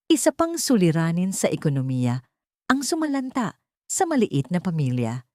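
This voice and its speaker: Low-voiced Filipino woman narrating a drama. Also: a little mellow, no room sound, studio quality. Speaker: audiobook narrator. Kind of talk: scripted dramatic story narration.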